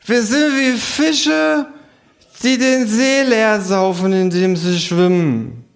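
A man voicing a brash, boozy, cynical male kangaroo in a comic reading, loud and slurring. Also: high voice, slow pace, gravelly, voice pushed up, exaggerated voice. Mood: drunken musing. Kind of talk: live comic book reading.

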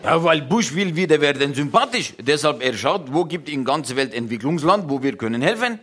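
A man's voice: fairly high pitch, expressive, brisk, fairly bright tone, slight background noise. A man playing a talkative foreigner who speaks broken German and starts each sentence with "ja". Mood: mocking. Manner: broken word order, constant "ja" openers. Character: chatty foreign man mangling German grammar. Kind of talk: satirical comedy monologue.

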